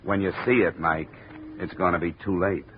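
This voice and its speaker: An actor narrating and playing a wry, tough insurance investigator in a 1950s radio drama. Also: male voice, low voice, steady tone, normal speed, over loud music, close to an everyday voice. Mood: warning. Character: hard-boiled male insurance investigator. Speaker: narrator and lead. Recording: dull 1950 radio broadcast audio.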